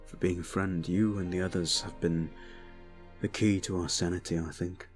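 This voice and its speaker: A man's voice: sits low, steady tone, normal speed, faint music behind, lightly acted. A man playing a weary, earnest knight-captain in a fantasy audio drama, speaking in a subdued, sincere and hesitant way. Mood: grateful, weary.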